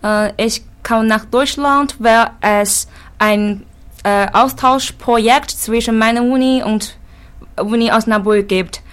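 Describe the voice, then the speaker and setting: A young woman from China with a Chinese accent, an exchange student answering interview questions in halting learner German. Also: fairly high pitch, steady tone, smooth. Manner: halting, many hesitation fillers.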